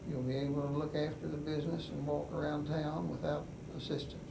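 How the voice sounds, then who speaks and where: An older man with a West Virginian accent, telling his life story in an oral history interview in an unhurried, halting way with many pauses. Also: fairly high pitch, flat delivery, creaky. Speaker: veteran interviewee. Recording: echoey room, mic at a distance, noisy.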